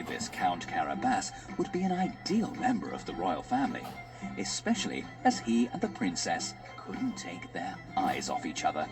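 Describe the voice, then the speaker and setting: A man narrating a children's fairy tale in an expressive, scripted audiobook style. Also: sits low. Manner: animated, expressive storyteller. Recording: music behind the voice.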